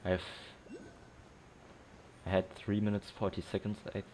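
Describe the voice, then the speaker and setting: A man's voice: on the low side, level tone, moderate pace, slight background noise, low-quality recording. A man casually commentating as he plays a video game, rambling and thinking aloud. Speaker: gameplay commentator. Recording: game music loud behind. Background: game music.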